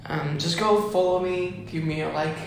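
A young man from Ecuador, a musician guest chatting casually on a podcast, excited and saying "dude" often. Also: fairly high pitch, moderate pace, background noise. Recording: very echoey room.

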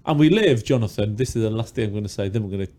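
A man co-hosting a podcast, chatty and jokey, a little hoarse from a sore throat. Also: on the low side, animated, very fast, mellow. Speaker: podcast co-host. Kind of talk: casual chat. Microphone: studio mic with pop filter.

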